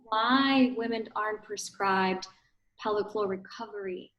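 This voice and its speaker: A woman's voice: mid-range voice, unhurried, echoey room, highs cut off. A woman in her thirties from Ukraine with a Ukrainian accent, a fitness studio owner explaining things casually in an interview, with frequent fillers and tag questions.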